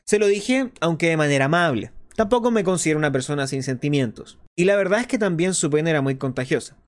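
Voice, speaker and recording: A man playing an earnest, explanatory host of a clandestine resistance radio show, in a scripted monologue with deliberate phrasing. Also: on the high side, animated, close to an everyday voice. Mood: somber, reflective. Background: music behind the voice.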